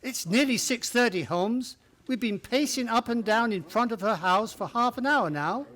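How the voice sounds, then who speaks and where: Man as gentleman sidekick, bright forceful tone, loyal, inquisitive, radio drama. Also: very high voice, expressive, moderate pace. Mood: curious. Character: loyal, puzzled gentleman companion of a detective. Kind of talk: radio play acting.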